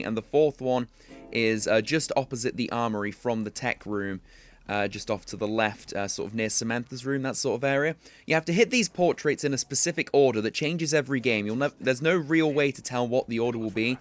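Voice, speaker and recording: A man's voice: mid-range voice, fairly quick. A young man hosting a video game walkthrough, talking casually and chattily with plenty of filler words. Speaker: gaming tutorial host.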